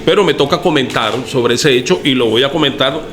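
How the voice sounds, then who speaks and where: A man's voice: mid-range voice, brisk, fairly bright tone, slight background noise. A Venezuelan man talking earnestly on a podcast, thinking aloud and restarting his phrases. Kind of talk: casual podcast discussion.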